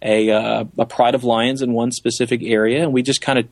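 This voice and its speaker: A man's voice: mid-range voice, flat delivery, brisk, dry recording. Enthusiastic man, dry close sound. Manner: enthusiastic, explanatory. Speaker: interview guest, safari photographer and guide. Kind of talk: conversational interview answers.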